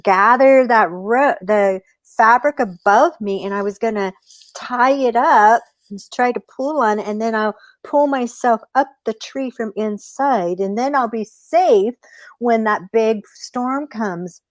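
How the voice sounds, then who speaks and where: A woman's voice: fairly high pitch, measured pace, no echo, close to an everyday voice. A woman voicing a sly male trickster rabbit who pleads and persuades in a storytelling. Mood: persuasive.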